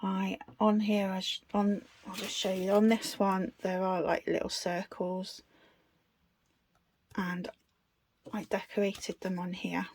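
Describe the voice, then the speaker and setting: A woman's voice: mid-range voice, level tone, slow, darkish tone, a little hiss or hum. A British woman giving a casual, unscripted craft tutorial in a chatty, self-deprecating way. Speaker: craft video host.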